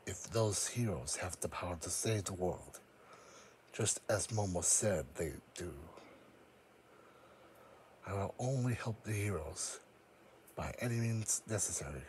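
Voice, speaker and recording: A man playing a solemn, powerful psychic creature in a fan audio drama, speaking in a grave, deliberate, formal manner. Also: deep voice, expressive, darkish tone, a little hiss or hum. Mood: brooding.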